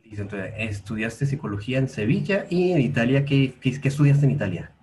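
A man's voice: medium pitch, expressive, brisk, a little mellow. Courteous man hosting. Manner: courteous, formal welcoming phrases. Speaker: podcast host. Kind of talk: interview host.

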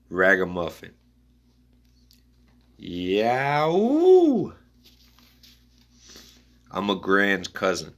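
A man musing aloud in a rambling spoken-word style, reading his own notes in halting fragments. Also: low-pitched.

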